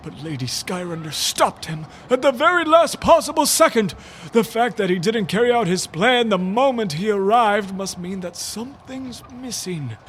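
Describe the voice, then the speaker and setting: A theatrical elderly wizard, excitable and long-winded, in a comedic audio drama. The voice sits extremely high and swings widely, with noise behind it. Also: male voice, moderate pace. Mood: pondering. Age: older. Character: excitable, pompous elderly male wizard, sarcastic adventurer.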